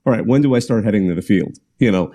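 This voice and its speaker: Guy hosting a call-in show, warm dark voice, chatty and rambly. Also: on the low side, lively intonation, fast talker. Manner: chatty, rambling with restarts and laughs. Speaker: podcast host. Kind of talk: casual call-in show chat.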